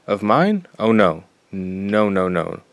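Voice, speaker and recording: Brief: man as a deep-voiced sardonic snob. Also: very animated, takes it slowly, vocal fry, no echo, heavily acted. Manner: drawling, sardonic, sighing. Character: snobbish rich teen boy, twin brother. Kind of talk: fan audio drama.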